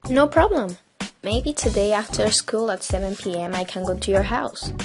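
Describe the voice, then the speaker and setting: A woman's voice: mid-range voice, animated, moderate pace, lightly acted. A teenage girl with Spanish as her first language, playing an agreeable young university student in an amateur radio drama. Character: helpful, agreeable young female biology student. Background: music.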